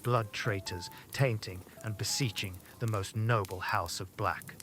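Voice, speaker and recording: An actor playing a bitter old servant creature in an audio drama, muttering with servile disdain. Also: male voice, on the low side, steady tone, unhurried, a bit bright, faint music behind. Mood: bitter scorn.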